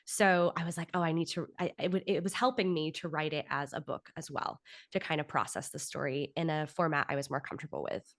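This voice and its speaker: A woman with a notably low voice talks as a guest in an interview. She explains her work in a conversational way, with loose asides. The sound is very dry, without room echo. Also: a little fast. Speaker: podcast guest, writer and audio drama producer.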